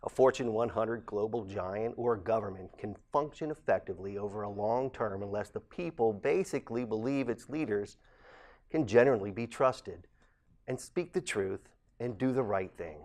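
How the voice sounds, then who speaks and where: Late-40s man, somewhat low voice, formal and earnest, local candidate addressing viewers. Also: normal speed, a little mellow. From Ohio, USA. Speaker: council president candidate. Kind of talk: campaign statement to camera.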